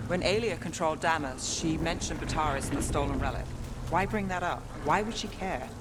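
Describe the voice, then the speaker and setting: A woman's voice: sits low, a little fast, heavy background noise, lightly acted. A woman voicing a weary, guarded detective with dry, understated wit in a fantasy video game. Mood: focused, businesslike. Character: weary, wry, guarded young female detective in a fantasy city.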